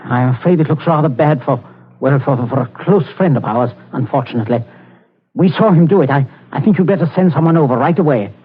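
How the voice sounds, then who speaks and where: An actor playing a fussy, genteel householder who chatters and stammers nervously, in 1940s radio acting style. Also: male voice, on the high side, a little fast, breathy edge. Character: genteel English householder, fussy and falsely cheerful. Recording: tinny old radio broadcast, faint hiss.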